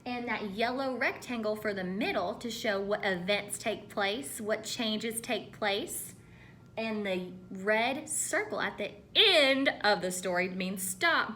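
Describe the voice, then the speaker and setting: A woman teacher giving a read-aloud lesson to children in an animated, instructive way, often putting questions to her listeners. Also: medium pitch, takes it slowly, a little hiss or hum. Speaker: elementary school teacher.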